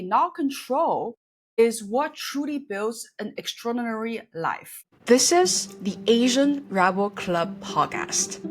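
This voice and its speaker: A woman from China hosting an interview podcast, moving between scripted introduction and conversational questions. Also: fairly high pitch. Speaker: podcast host.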